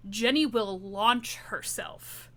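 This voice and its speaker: A woman playing a tabletop roleplaying game, describing her character with deliberate, dramatic phrasing. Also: mid-range voice.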